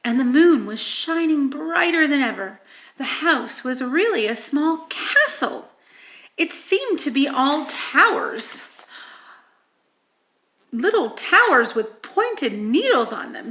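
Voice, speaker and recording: A woman reading a children's story aloud in an expressive, dramatic manner, with emphatic stresses and hushed moments. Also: sits high. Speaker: audiobook reader.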